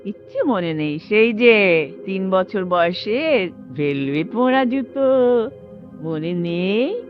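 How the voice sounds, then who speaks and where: A woman playing a sentimental, stubborn old mother in a radio drama, halting and emotional as she repeats her phrases. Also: medium pitch, sing-song, muffled recording, faint music behind. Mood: nostalgic, coaxing. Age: older. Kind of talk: Bengali radio drama acting.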